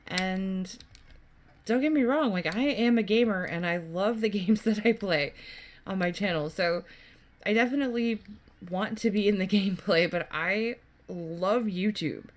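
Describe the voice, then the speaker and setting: A woman's voice: mid-range voice, measured pace. A woman doing casual gameplay commentary as a YouTuber, rambling along in a chatty, enthusiastic way. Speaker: gaming YouTuber. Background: game music.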